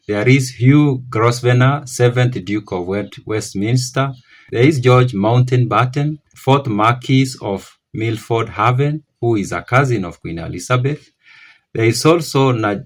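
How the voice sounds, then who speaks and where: A man hosting a history channel, talking it through off the cuff, often stopping to correct himself. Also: mid-range voice. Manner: rambling, self-correcting, thinking aloud. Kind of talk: unscripted history talk to camera.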